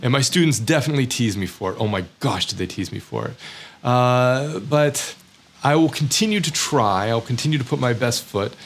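A man's voice: medium pitch, expressive, normal speed. An American man talking in a candid, self-deprecating way, with many fillers and restarts, about his own experiences as a teacher living abroad. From United States. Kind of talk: reflective personal monologue.

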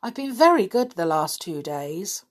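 A woman voicing a young boy thinking aloud quietly in a children's story reading. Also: on the low side, big pitch swings, unhurried. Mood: glum. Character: young boy muttering to himself.

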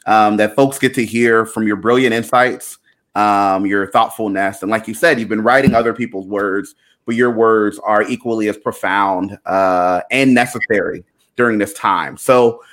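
Enthusiastic low-voiced pastor guest. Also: male voice, fairly even intonation. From Liberia, raised in Louisiana. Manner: warm, enthusiastic, halting with many fillers. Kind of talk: podcast interview.

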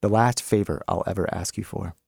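An earnest, thwarted man acted by a male reader in a short story. He speaks low, plainly and a little defensively, with a dry sound. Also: fairly quick, vocal fry, darkish tone, breathy edge, no echo, exaggerated voice. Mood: pleading. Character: earnest, thwarted man leaving his life behind.

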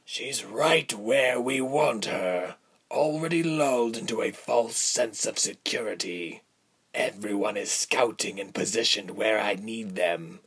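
A man voicing a sinister commander in an audiobook, coarse and breathy, speaking slowly with quiet, cold derision. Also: on the low side, exaggerated voice. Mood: cold menace. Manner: slow, drawling, quiet and derisive. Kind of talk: audiobook character voice.

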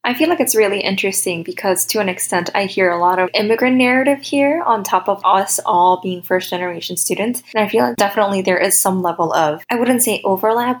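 A woman co-hosting a podcast, speaking in a thoughtful, reflective way. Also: mid-range voice. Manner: thoughtful, reflective, measured phrasing. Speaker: podcast co-host. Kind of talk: casual conversation.

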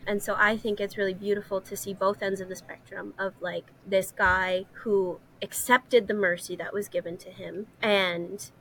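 A woman's voice: mid-range voice, a bit bright, a little hiss or hum, low-quality recording. A woman co-hosting a podcast, talking through a story in a casual, earnest and emphatic way. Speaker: podcast co-host. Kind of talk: casual discussion, explaining a story.

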